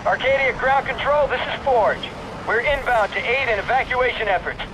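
Tough marine sergeant shouting for backup, high pitched, battle noise. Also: male voice, normal speed. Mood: urgent. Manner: urgent radio calls. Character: tough male marine sergeant under fire. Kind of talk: video game cutscene acting.

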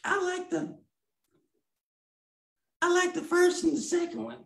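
A man's voice: very high-pitched, measured pace, vocal fry, a bit bright, echoey room, exaggerated voice. A man voicing a bawdy, churchgoing old grandmother puppet who drawls folksy lines and calls people baby, over a video call. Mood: sassy. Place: small room at home, video call.

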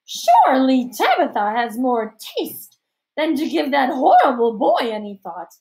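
A woman voicing a haughty, stern older lady in an audiobook, with a pompous, drawn-out, imperious delivery. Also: sits high, wildly swooping pitch, a little mellow, voice pushed up, full character voice, low-quality recording. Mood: haughty, indignant. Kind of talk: audiobook character voice.

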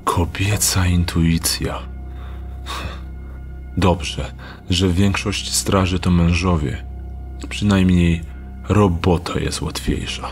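Man acting a slow, low-voiced wary thief. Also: steady tone, faint music behind. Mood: wry muttering. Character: wary, wry male thief in a fantasy city. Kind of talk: fantasy audio drama acting.

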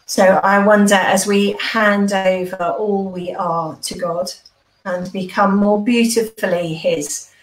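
A woman's voice: mid-range voice, fairly even intonation, slow, distant mic. A middle-aged British woman speaking as a vicar leading an online church service from home over a video call. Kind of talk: informal notices and spoken prayer. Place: small room at home, indoors. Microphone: computer microphone on a video call. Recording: roomy echo on a video call.

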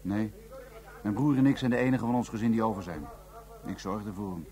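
A man's voice: fairly low pitch, a little fast, a crowd in the background, close to an everyday voice. An actor playing a sombre, determined Japanese man working with police in a radio play. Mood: resigned, determined.

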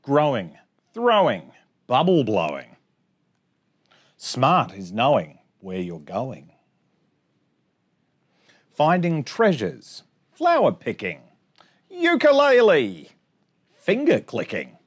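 A man in his forties hosting storytime, reading a picture book aloud to children in an expressive sing-song way with chatty asides. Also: medium pitch, slow, pauses often, slightly rough. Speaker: storytime host. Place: small indoor space among bookshelves.

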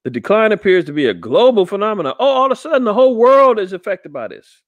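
Middle-aged guy riffing on the news, kinda high voice, lots of uh and um, dry close mic. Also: sing-song, quick pace, no echo, highs cut off. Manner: rambling, skeptical, many fillers and restarts. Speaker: podcast host commenting on news. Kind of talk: casual commentary reading an article.

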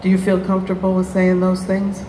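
A middle-aged woman on a convention panel giving a measured, technical answer with fillers into a handheld mic. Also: on the low side, monotone, dark tone. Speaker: panelist answering audience question. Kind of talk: careful explanatory panel answer. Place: large convention hall stage. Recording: echoey convention hall with noise.

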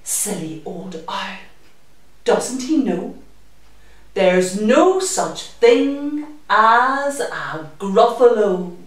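A woman reads a children's picture book aloud, very slowly and with lively rises and falls. Her delivery is expressive and sing-song. She sounds distant in a very echoey room. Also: medium pitch. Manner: deliberate, sing-song rhythm for young children. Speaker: children's story-time reader.

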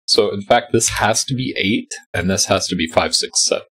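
A man's voice: lowish voice, moderate pace, fairly bright tone, breathy edge, low-quality recording. A man with a stuffy, congested voice, patiently talking through a sudoku solve step by step as host. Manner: patient, explanatory, thinking aloud. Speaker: host solving a sudoku puzzle. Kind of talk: calm step-by-step puzzle walkthrough. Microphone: voice-over on a screen recording.

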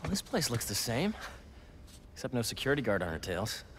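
A man's voice: mid-range voice, sing-song, a little fast, noisy background, lightly acted. A man playing an upbeat young American in a video game, a loyal old friend who speaks casually and naturally. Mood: easygoing. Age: young adult. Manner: casual, conversational. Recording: music behind dialogue.

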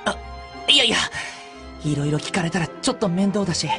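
An actor as a lively, brash boyish dog-boy hunter. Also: mid-range voice, fairly quick, over music, lightly acted. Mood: musing, hesitant. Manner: casual, boyish, rough informal speech. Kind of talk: Japanese anime radio drama acting.

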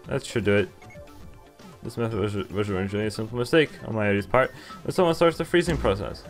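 Low-voiced young game streamer, male. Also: brisk. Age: young adult. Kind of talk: reading game text aloud with casual commentary. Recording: game music behind.

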